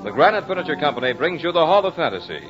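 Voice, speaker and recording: An actor playing an ominous, grandiose host of an old radio horror series, announcing in a theatrical, declamatory way. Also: male voice, on the high side, very animated, rapid-fire. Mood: formal, inviting. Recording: muffled old radio recording, music behind.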